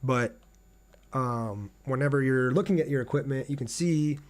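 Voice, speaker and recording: Casting: man with a mid-pitched voice for casual gameplay streaming, chatty, conversational, thinks aloud. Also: normal speed. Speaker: game streamer reading viewer chat. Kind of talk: casual live gameplay commentary.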